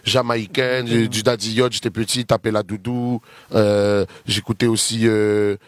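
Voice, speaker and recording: Man with a réunionese french accent, low-pitched, rambling with fillers, dry close sound. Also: level tone, a little slow, dry recording. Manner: rambling, lists names with many fillers. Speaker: guest reggae artist. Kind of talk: casual interview chat.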